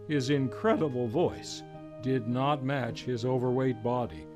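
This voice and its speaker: An American man narrating as an old-time radio announcer, with a theatrical, grandiose delivery. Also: fairly high pitch. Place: radio studio.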